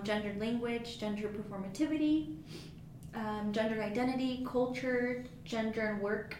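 A young woman co-hosting a student podcast, earnest and slightly scripted in tone. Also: mid-range voice. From United States. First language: Spanish. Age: young adult. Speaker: student co-host. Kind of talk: casual podcast chat. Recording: echoey room with noise.

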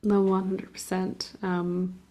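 A woman's voice: on the low side, completely flat delivery, slow pace, dark tone. A young woman from Portugal chatting casually, rambling with lots of fillers and restarts. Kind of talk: casual conversation.

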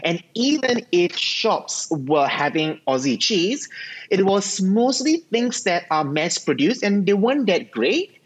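Relaxed man from Singapore, halting. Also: sits high, breathy edge, poor audio. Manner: relaxed, halting with many ums, self-deprecating. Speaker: cheesemonger guest. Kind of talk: casual interview chat.

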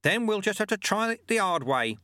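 Elderly monk master for a storybook, done by a male narrator: raised pitch, lilting, cheeky, wry, unflappable. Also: big pitch swings, normal speed, pushed and bright, clear, resonant tone, no room sound, clean studio sound, exaggerated voice. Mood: wry patience. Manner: wry, folksy, mock-instructive.

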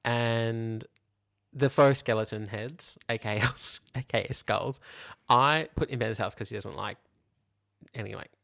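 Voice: medium pitch, measured pace, gravelly, a bit bright. A podcast co-host telling casual, comic anecdotes and acting out the quoted dialogue as they go. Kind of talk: casual comic storytelling chat.

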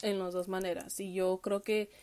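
A woman's voice: mid-range voice, flat delivery, normal speed, a little mellow. A young woman, talking openly in a casual podcast monologue and often correcting herself mid-sentence. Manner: candid, chatty, with frequent fillers and self-corrections. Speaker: podcast host. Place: lives in the United States.

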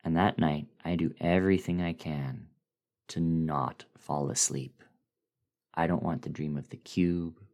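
A man giving a dramatic, suspenseful first-person reading of a horror story, with theatrical pauses. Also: extremely low pitch, steady tone, a little mellow. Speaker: guest reader of a horror story.

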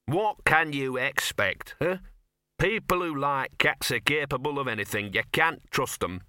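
A man's voice: medium pitch, animated, normal speed, pushed and bright, dry recording, studio quality. A man voicing a sullen little dog in an audiobook, muttering and whining self-pityingly in slangy, colloquial speech. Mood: sullen, aggrieved. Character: grumbling, sullen small bow-legged talking dog.

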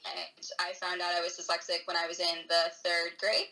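A young American woman chatting as an interview guest. Her voice is bright and a little low-pitched. She talks thoughtfully, pausing on "um" and "like". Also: completely flat delivery, low-quality recording. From United States. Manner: thoughtful, hesitant with many "um"s and "like"s. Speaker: guest YouTuber. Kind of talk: casual interview chat.

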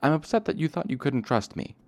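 A man voicing an excitable, easily offended young male fae servant who hisses and splutters, in a storybook read aloud. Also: sits low, moderate pace, creaky. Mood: huffy. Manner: indignant, hissing and spluttering.

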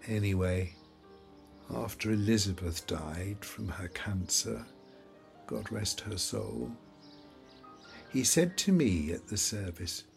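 A man's voice: lowish voice, lively intonation, takes it slowly, creaky, pauses often, faint music behind, heavily acted. An older man acting in an audio play as a forgetful elderly widower, rambling fondly and wistfully through memories. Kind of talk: audio drama acting, reminiscing.

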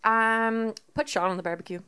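A woman's voice: on the high side, steady tone, moderate pace, pushed and bright, smooth. A woman guesting on a podcast, chatting easily and laughing often. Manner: giggly, laughs often, easygoing. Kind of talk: casual chat.

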